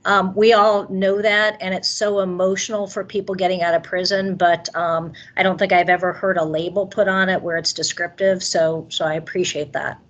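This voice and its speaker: A woman's voice: on the low side, little pitch movement, normal speed, few pauses, a bit bright, dull audio. A woman moderating a virtual meeting from an office, acknowledging speakers and putting questions to them.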